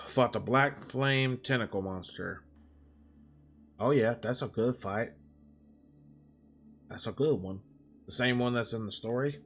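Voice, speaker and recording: A man's voice: mid-range voice, slow pace, long pauses. A man livestreaming in casual, sweary bro slang, chatting and composing a story aloud. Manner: informal, profane, bro slang. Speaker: live-streaming writer and gamer. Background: background music.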